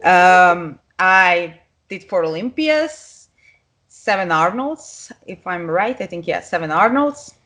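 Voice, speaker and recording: Serbian-accented woman, lowish voice. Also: animated, slow pace, fairly bright tone. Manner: non-native grammar, speaks openly with small laughs. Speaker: guest, pro figure competitor. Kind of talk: casual interview talk.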